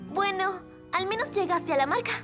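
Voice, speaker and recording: A woman's voice: very high-pitched, lively intonation, moderate pace, music in the background. A woman voicing a perky, encouraging young girl in a children's cartoon dub, chirpy and instructive. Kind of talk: animated children's series dub.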